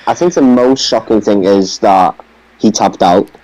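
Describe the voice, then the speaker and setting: A man's voice: fairly low pitch, a little slow, warm tone, low-quality recording. A man on a podcast panel giving blunt, opinionated takes in casual sports chat.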